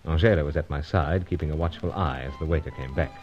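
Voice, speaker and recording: An actor playing a brisk, gentlemanly British secret agent in a radio serial, with clipped old-fashioned phrasing. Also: male voice, very deep voice. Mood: narrating, suspenseful. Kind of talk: old-time radio serial acting and first-person narration. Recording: dull vintage radio recording, music behind.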